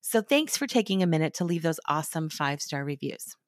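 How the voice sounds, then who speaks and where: A woman's voice: sits low, expressive, fairly quick, no room sound, studio quality. A middle-aged woman hosting a style podcast in a friendly, chatty way, her voice a little scratchy from a recent cold. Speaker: podcast host, stylist. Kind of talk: scripted podcast talk.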